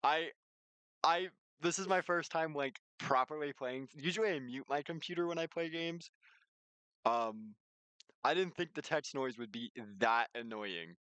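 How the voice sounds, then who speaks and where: A man's voice: on the high side, unhurried, a bit bright, clean tone, no echo. Playful, dry-sounding male game streamer. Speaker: streamer hosting a game playthrough. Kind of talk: casual gaming livestream chat, reading game text aloud.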